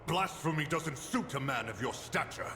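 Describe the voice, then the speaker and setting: A man's voice: a little high, animated, moderate pace, a little hiss or hum. A man playing a proud, stern human paladin commander in a video game, lofty and admonishing in manner. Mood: indignant. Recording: echoey hall with loud music.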